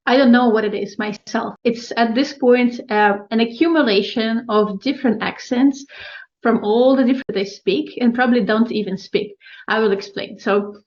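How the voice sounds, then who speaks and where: A woman from Ukraine with a Ukrainian accent, a podcast guest talking thoughtfully with many fillers and restarts. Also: fairly high pitch. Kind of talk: casual interview talk. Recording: dull lo-fi audio.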